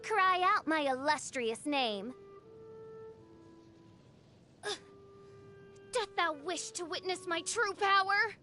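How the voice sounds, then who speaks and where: An actress voicing a theatrical young self-styled princess in an anime game, speaking in pompous, archaic, melodramatic phrases. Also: female voice, very high voice, a little slow, bright, forceful tone, soft background music, full character voice. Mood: proud. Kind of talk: anime game character voice lines.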